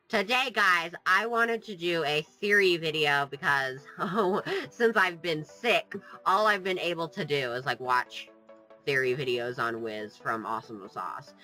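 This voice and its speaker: A very bright, sniffly voice from a vlogger fighting a cold, talking into a desk mic. The chat is playful and sarcastic and wanders from one aside to the next. Faint music plays underneath. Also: mid-range voice, low-quality recording. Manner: playful, jokey, sarcastic, drifts off topic. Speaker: gaming YouTuber. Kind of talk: casual rambling vlog chat.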